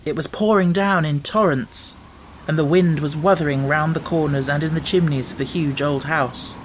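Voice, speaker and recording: A man reading an audiobook as narrator, telling the story in a measured, expressive way. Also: on the high side, a little hiss or hum, rough recording.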